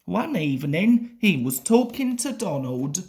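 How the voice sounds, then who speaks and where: A woman's voice: fairly low pitch, very animated, unhurried, dark tone. A woman reading a children's story aloud as scripted narration.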